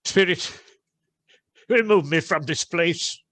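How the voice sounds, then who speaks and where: A man's voice: on the high side, slow pace, a bit bright, dry recording. An actor playing Scrooge, an anguished, imploring old man in a radio play. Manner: imploring, exclamatory.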